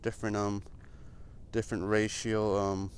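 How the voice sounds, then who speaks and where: A man's voice: fairly low pitch, little pitch movement, measured pace. An American man in his twenties chatting casually to camera as a vlogger, laid-back and rambling. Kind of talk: casual vlog chat to camera. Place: inside a small car and outdoors in open parking lots. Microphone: action camera at arm's length or mounted. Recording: noisy action camera, car and outdoor ambience.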